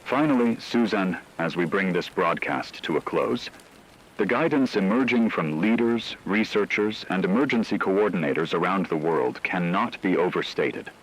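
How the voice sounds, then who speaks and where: A man voicing a grave radio news anchor, speaking in a solemn, measured, formal way as in a scripted emergency broadcast. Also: low-pitched, lively intonation, poor audio. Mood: grave, urgent.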